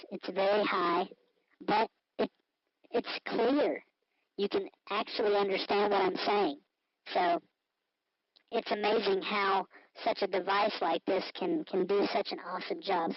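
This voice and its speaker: Male tutorial host with artificially raised, voice-changer pitch, informal delivery, dull dry small-speaker sound. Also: dry recording. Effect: voice electronically pitched up by a voice changer, through a cheap speaker. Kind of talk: hands-on electronics demonstration.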